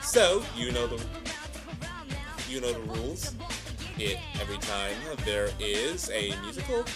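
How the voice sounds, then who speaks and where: A man hosting a reaction video with casual, playful commentary and hammy, drawn-out asides, music playing behind him. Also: on the low side, very animated. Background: music and audio drama playback.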